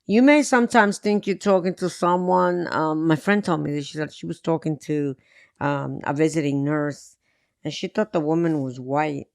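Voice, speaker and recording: Deep-voiced woman from New York. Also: fairly even intonation, moderate pace, gravelly, a little mellow, clear, resonant tone, no room sound, clean studio sound. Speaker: guest. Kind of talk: casual interview.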